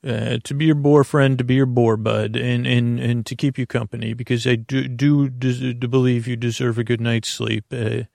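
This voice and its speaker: A man with a creaky voice hosting a bedtime podcast in a soothing, meandering monologue that halts and loses its thread. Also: medium pitch, flat delivery, a little mellow, dry recording, studio quality. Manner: meandering, halting, losing his thread; soothing, lulling. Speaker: podcast host.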